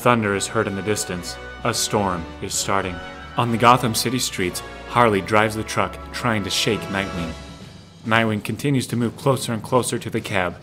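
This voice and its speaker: A man's voice: on the low side, normal speed. A man narrating a scripted audio drama, describing the action as the narrator. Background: music.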